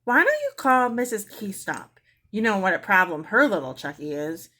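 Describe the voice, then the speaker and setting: A woman's voice: medium pitch, very animated, normal speed, a bit bright. A woman voicing a sympathetic, polite neighbor mother in a storybook.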